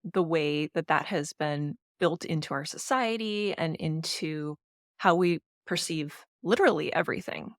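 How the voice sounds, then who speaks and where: Woman, lowish voice, thoughtful chatty podcast co-host, dry close sound. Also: measured pace, no echo. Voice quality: naturally slightly low voice. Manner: thoughtful, with frequent "you know" and "um". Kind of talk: casual book discussion.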